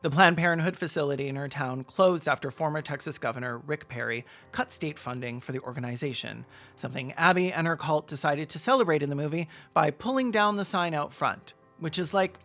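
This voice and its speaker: A video essay host doing sarcastic comedic commentary with mock-serious, deadpan jokes. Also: medium pitch, brisk, hardly any pauses, faint music behind.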